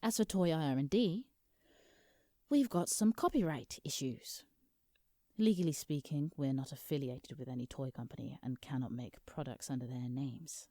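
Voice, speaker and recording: A woman's voice: very deep voice, moderate pace, mellow, dry recording. A British woman playing an exasperated, businesslike lawyer in a scripted comedic audio drama, formal and dry. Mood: dry, explanatory. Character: exasperated, businesslike female lawyer for Santa Claus.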